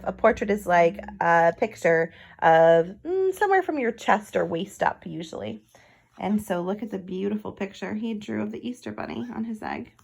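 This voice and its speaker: A woman's voice: medium pitch, creaky. A woman narrating a children's storybook, with casual asides that explain things to young listeners. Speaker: picture book read-aloud reader.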